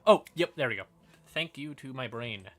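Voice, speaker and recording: A man's voice: medium pitch, animated, moderate pace, fairly bright tone. A man hosting a casual livestream, explaining fantasy game lore in an informal, sweary, apologetic way while sounding a bit tired. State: tired, yawning. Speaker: livestream host.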